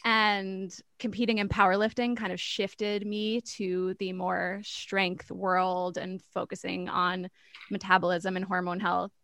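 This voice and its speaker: A woman's voice: mid-range voice, level tone, measured pace, fairly bright tone, no echo. A woman in her thirties speaks as a strength coach on a podcast. She sounds upbeat and confident. The recording is dry and close, with almost no room sound. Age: 30s. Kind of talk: casual group chat.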